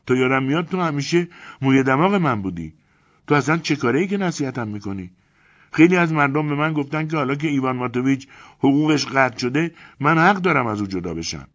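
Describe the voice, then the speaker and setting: A man reading aloud and voicing a quick-tempered young wife who shrieks and complains in indignant bursts. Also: mid-range voice, lively intonation, breathy edge, pitched above the performer's own voice. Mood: shrieking. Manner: shrieking, exasperated, rapid complaints. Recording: dull lo-fi recording.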